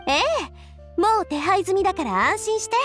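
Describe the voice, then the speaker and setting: Elegant, high-voiced young lady boss. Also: animated, moderate pace, a bit bright, soft background music. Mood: helpful, assured. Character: elegant, warm-hearted young lady boss, supportive and self-assured. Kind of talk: Japanese video game voice acting.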